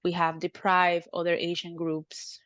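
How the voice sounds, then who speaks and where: A woman's voice: fairly low pitch, a little slow, no echo. A woman from Cuba, an academic guest, explaining at length in a conversational interview and often checking in with "right?". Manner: animated, frequent "right?" tag questions.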